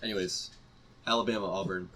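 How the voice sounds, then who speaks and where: A young man with a very deep voice talks football in an easygoing way. He agrees a lot and keeps the conversation moving. A faint hiss sits under the recording. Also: brisk. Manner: relaxed, agreeable, steers the topic. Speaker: podcast co-host. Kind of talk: casual sports chat.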